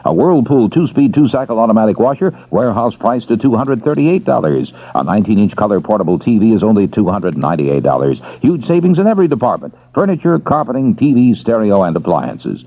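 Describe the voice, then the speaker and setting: A man reading radio commercials in a brisk, upbeat selling voice. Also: fairly low pitch, very animated, slightly rough. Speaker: radio ad announcer. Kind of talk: commercial voice-over. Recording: old lo-fi radio broadcast with music.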